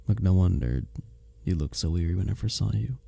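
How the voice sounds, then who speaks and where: A man playing a kind, courteous innkeeper in a medieval fantasy village, speaking softly and formally in an intimate ASMR roleplay drama. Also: low-pitched, lively intonation, moderate pace, gravelly, breathy edge, dry recording, full character voice. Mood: curious. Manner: gentle, formal storybook phrasing, hesitant and tender.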